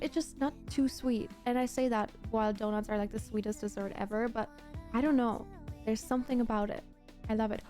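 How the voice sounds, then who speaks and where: A woman's voice: a little high, dark tone. A woman from Germany, a native German speaker, livestreaming in a casual chat with viewers, gushing and emphatic and often repeating herself. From Germany, grew up in Berlin.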